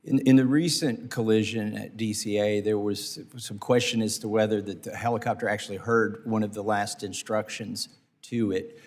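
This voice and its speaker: A congressman questioning witnesses at a committee hearing, halting with restarts and fillers. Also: on the low side, level tone, big-room sound. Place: hearing room.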